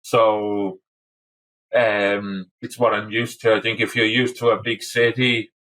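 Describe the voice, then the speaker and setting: A man from North Wales, a podcast guest talking in a halting way and often repeating words as he thinks. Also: fairly low pitch, flat delivery, fairly bright tone, poor audio. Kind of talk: casual conversation.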